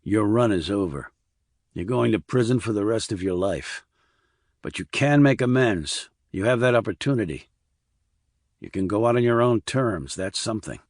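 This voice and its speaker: A man voicing a hard, calm military investigator in an audiobook thriller, cool, blunt and controlled. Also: fairly low pitch, normal speed, no echo, clean studio sound. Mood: firm.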